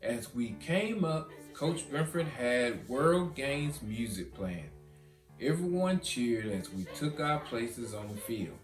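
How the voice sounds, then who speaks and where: A man reading a children's storybook as narrator, animated and with dramatic pauses. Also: medium pitch. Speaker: author reading own children's book. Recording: roomy echo, guitar music behind.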